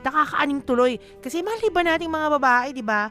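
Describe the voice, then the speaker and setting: A woman's voice: sits high, lively intonation, brisk, pushed and bright, faint music behind. A woman with a Filipino accent hosting on radio, chatty and opinionated, giving conversational commentary full of slang. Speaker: radio host.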